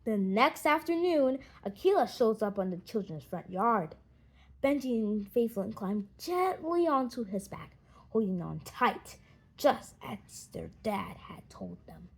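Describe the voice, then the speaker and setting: A woman narrating a children's audiobook in an expressive storytelling manner. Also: a little high, a little slow, husky.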